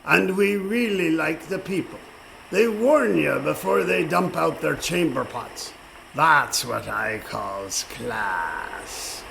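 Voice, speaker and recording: Friendly rustic pirate, slow and gravelly but high, radio comedy. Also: male voice, fairly bright tone, slight background noise, exaggerated voice, rough recording. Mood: folksy. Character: genial rustic pirate with old-salt speech. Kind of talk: comic radio play acting.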